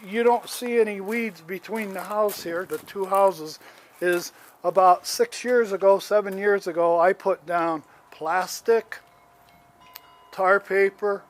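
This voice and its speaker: Slow-talking aggrieved man in his seventies. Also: sits high. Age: 70s. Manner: aggrieved, plain-spoken, rambling with restarts. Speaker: homeowner. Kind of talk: casual complaint vlog, filming as he walks. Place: outdoors, residential yard.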